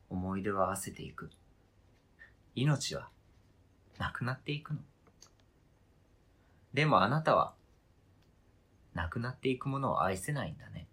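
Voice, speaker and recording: A man's voice: on the low side, fairly bright tone, breathy edge, heavily acted. A man acting a stubborn, hurt partner in an amateur radio drama, questioning intensely and insistently. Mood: hurt, grave. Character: stubborn, hurt partner fiercely attached to an old toy.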